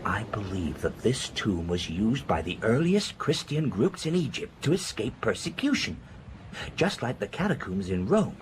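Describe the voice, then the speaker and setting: Worldly professor: low, very animated, confiding storyteller for radio drama, with faint noise behind. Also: male voice, moderate pace. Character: worldly, frank male professor recounting adventures.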